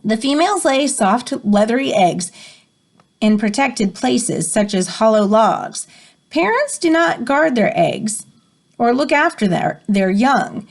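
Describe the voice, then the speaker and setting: Female educator, expressive picture-book read-aloud with teaching asides. Slightly high pitch, swooping melody, dramatic stresses. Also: slow, vocal fry. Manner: animated, dramatic emphasis.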